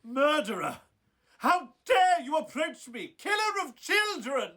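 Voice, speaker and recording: A man's voice: very high voice, sing-song, slow pace, bright, forceful tone, exaggerated voice. A man playing a bitter, wrathful young scientist in a radio play, with intense, melodramatic delivery. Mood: enraged. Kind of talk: theatrical radio play acting. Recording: roomy echo.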